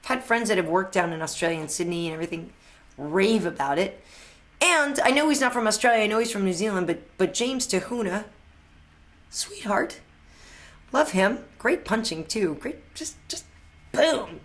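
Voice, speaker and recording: A woman's voice: medium pitch, animated, moderate pace. A woman hosting a live chat, answering fan questions in an enthusiastic, chatty way that is full of restarts and repeated words. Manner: answers viewers' questions on the fly.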